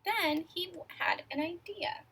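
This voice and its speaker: A woman reading a picture book aloud to children in an expressive way, stopping to ask her young listeners questions and sometimes dropping to a hush for suspense. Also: very high voice, unhurried.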